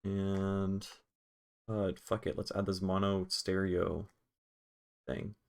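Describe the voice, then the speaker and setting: A man with a fairly low voice talks through a music production tutorial. He is casual and offhand, informal in his wording, with the occasional easy swear. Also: flat delivery, takes it slowly, gravelly, a little mellow. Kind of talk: casual screen-recorded software tutorial.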